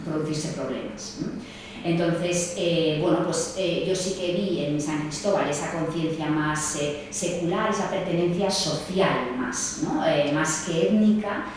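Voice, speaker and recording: Woman lecturer with a low voice, halting, with fillers and tag questions, heard in a very echoey room. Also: a little hiss or hum. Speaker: sociologist speaking at a seminar. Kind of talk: seminar talk. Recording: echoey distant room mic, faint ambience.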